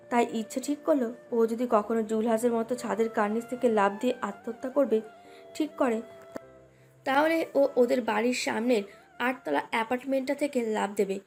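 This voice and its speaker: High-pitched woman narrating a romance. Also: darkish tone. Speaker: story narrator. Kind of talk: scripted romantic story narration. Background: background music.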